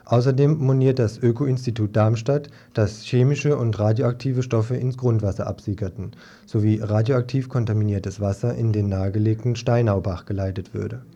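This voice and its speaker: Male news reader, mellow and dark, scripted report, dull old radio tape sound. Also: on the low side, fairly even intonation, normal speed, creaky.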